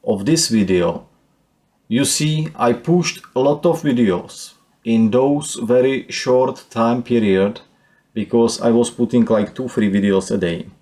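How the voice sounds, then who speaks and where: A man with a Czech accent walking through his channel's stats, speaking non-native English haltingly with many fillers. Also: medium pitch, darkish tone. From Czech Republic. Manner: halting, with frequent "uh" and "let me say". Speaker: YouTuber. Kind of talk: screen-share channel walkthrough.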